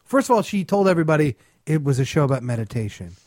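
A man's voice: fairly high pitch, big pitch swings, fast, a little mellow, no room sound, studio quality. A man co-hosting a comedic radio talk show, ranting with profane sarcasm and mocking imitations.

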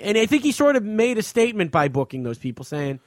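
A man with a noticeably high voice talks casually as a podcast host. He is blunt and profane as he offers his opinions, and the sound is close and dry. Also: big pitch swings, fast, no room sound. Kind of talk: casual opinionated entertainment chat.

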